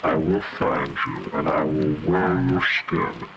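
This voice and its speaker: A man's voice: rumbling low, fairly even intonation, over a noisy crowd. A man voicing a menacing skin-stealing monster in an analog horror video, threatening and falsely soothing. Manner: slow, threatening, commanding. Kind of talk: analog horror villain monologue. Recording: very heavy noise over the voice.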